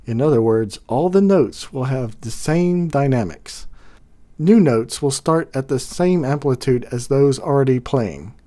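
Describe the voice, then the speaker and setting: A man's voice: mid-range voice, expressive, unhurried, mellow. A man hosting a product review, reading scripted narration with casual, folksy phrasing. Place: home studio.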